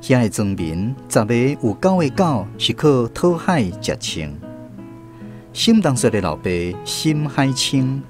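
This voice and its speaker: A man's voice: medium pitch, big pitch swings, a little slow, soft background music. A man with a Taiwanese accent narrating a scripted literary radio drama.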